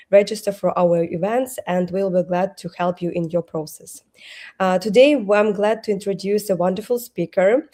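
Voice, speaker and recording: A woman's voice: mid-range voice, dark tone. A woman hosting a webinar, introducing a guest speaker in a semi-scripted way.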